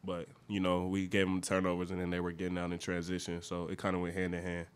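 A man's voice: deep voice, completely flat delivery, fast, clean tone. A young man in his twenties, a college basketball player, answering matter-of-factly at a post-game press conference, seated at a table mic. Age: 20s. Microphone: desk mic on a stand.

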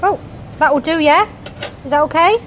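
Woman, Welsh accent, high voice, chatty mother, phone vlog, noisy restaurant. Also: moderate pace. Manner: chatty, coaxing with her child. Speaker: vlogger, mother. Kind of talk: casual family vlog. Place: indoor buffet restaurant. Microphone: handheld phone camera.

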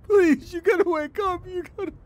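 A man's voice: very high voice, steady tone, moderate pace. A man with an American accent acting a proud, cynical, stubborn older brother in a first-person audio drama. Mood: panicked, pleading. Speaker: actor narrating and playing the lead.

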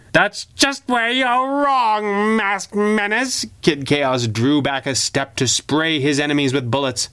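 A man reading a pulp adventure story as a dramatic, theatrical and expressive narrator. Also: mid-range voice. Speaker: audiobook narrator.

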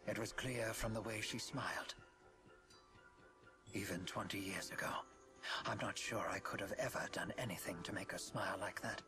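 A man voicing a courteous, dignified old gentleman in a game cutscene, speaking formally and graciously. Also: on the low side, fairly even intonation, moderate pace, over music, natural voice. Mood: earnest. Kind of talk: scripted game cutscene acting.

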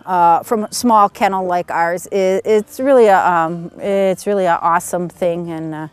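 A woman's voice: on the low side, fairly even intonation, normal speed, clear, resonant tone, dry recording. A middle-aged woman chatting plainly about her hunting dogs outdoors in snowy woods, with lots of uhs, recorded on a camera mic. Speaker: beagle owner. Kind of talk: casual outdoor interview.

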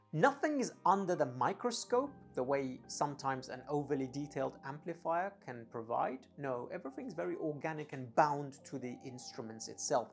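A man in his thirties giving a product review to camera, with emphatic, deliberate phrasing and pauses. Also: fairly high pitch. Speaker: audio gear reviewer. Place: dim indoor home studio room. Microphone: lapel clip-on mic.

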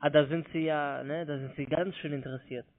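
Higher-voiced man on a phone line. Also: fairly even intonation, brisk. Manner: repetitive, stumbling for words, needling back. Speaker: call centre telephone caller. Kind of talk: unscripted sales call turned argument. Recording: thin narrowband phone line.

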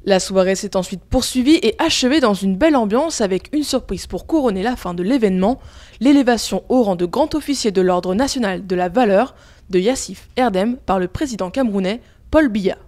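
A woman's voice: mid-range voice, animated, fairly quick, a little mellow. A woman news reporter reading a scripted narration for a news report.

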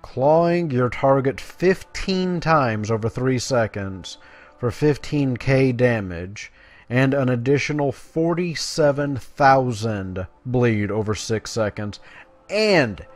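A man's voice: mid-range voice, animated, takes it slowly. A man hosting a gaming video, casually commentating and reading quest text aloud in a playful way. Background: game music.

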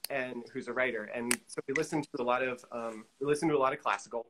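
A man's voice: mid-range voice, fairly even intonation, fast talker, background noise. A middle-aged man chatting casually as an interview guest over a video call, thinking aloud in an easygoing way. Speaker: guest, collage artist. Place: indoor studio room, video call.

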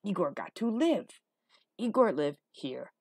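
A woman voicing an ancient male hunchbacked dungeon dweller in a storybook, with a low gravelly growl and halting, broken, childlike speech. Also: very animated, a little mellow, no echo, studio quality, exaggerated voice. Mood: threatening, indignant.

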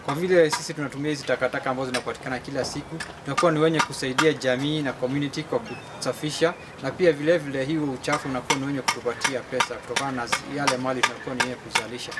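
A man's voice: medium pitch, steady tone, rapid-fire, hardly any pauses. A young man with a Kenyan accent gives a fluent interview statement as a youth group leader. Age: young adult. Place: open dirt yard outdoors. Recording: noisy outdoor location sound.

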